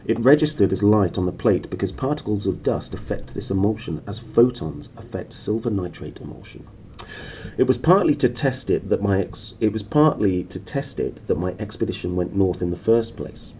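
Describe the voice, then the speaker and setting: Storybook role: composed gentleman lecturer, played by a male reader, dark tone, measured, assured delivery. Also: on the low side, lightly acted. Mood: calm, assured. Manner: calm, measured. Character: composed, authoritative gentleman explorer lecturing scholars. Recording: clearly noisy room recording.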